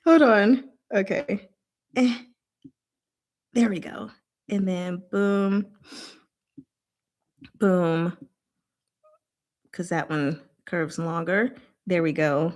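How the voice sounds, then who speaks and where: A woman's voice: on the low side, extremely slow, pauses often, darkish tone. A woman hosting a casual book discussion, explaining things informally with playful, rambling asides.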